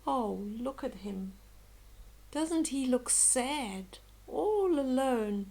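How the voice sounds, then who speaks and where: An older woman reading a children's story aloud slowly and expressively, with gentle chatty asides to young listeners. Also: sits high, lively intonation, vocal fry.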